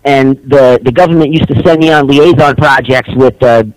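A man's voice: mid-range voice, moderate pace, no room sound, poor audio. An older man from Rhode Island, a guest calling in over a computer phone, telling his story in a laid-back way and swearing casually. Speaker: guest caller. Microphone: computer phone call.

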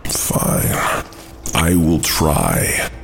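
A man's voice: extremely low pitch, big pitch swings, drawn-out words, creaky, fairly bright tone, full character voice. An actor playing a modest, hesitant and powerful male archangel in a fantasy audio drama, speaking thoughtfully. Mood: doubtful.

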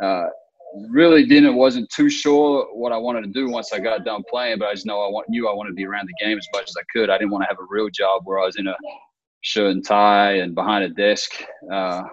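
A man's voice: on the low side, fairly even intonation, brisk, lo-fi sound. A man, a basketball coach talking steadily in an interview and repeating words for emphasis. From Australia. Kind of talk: casual interview talk.

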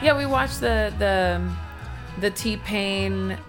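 A woman's voice: medium pitch, extremely slow. A woman co-hosting a podcast, chatting enthusiastically and laughing easily. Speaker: podcast co-host. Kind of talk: casual chat over music. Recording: loud music playing behind. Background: country music.

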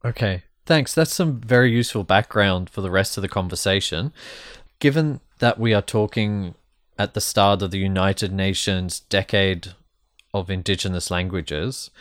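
A man hosting a podcast, giving formal scripted introductions and asking clear questions. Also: on the low side, no room sound, clean studio sound. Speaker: podcast host. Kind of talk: podcast interview.

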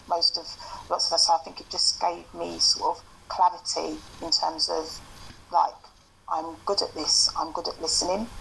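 A man speaking calmly as an advocate, answering interview questions with many fillers and restarts. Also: on the high side, gravelly, a little hiss or hum, low-quality recording. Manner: calm, hesitant, many fillers.

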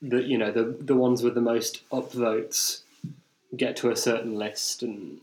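This is a young man with an English accent. He chats casually and tells anecdotes as a podcast host. His pitch is middling, and the recording is clearly roomy and echoing. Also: level tone, measured pace, darkish tone. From England. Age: young adult.

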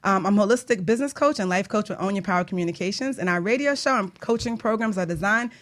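A woman's voice: medium pitch, animated, quick pace, a bit bright, no room sound. A woman hosting a radio talk show in a casual, playful, informal way. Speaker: radio show host and business coach. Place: radio studio.

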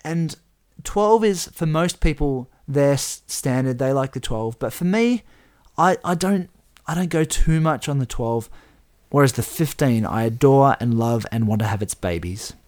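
A man hosting a laid-back podcast at an average pitch. He rambles cheerfully with restarts and little laughs. His voice sounds a touch croaky. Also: expressive, measured pace, darkish tone. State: slightly croaky voice. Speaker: podcast co-host. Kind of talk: casual chat.

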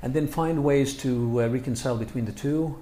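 Man from Egypt, interview guest, hesitant and halting, mellow dark tone, faint background noise. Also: medium pitch, echoey room. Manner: halting, many fillers and restarts. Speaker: guest, scholar of Islam. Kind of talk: interview answers.

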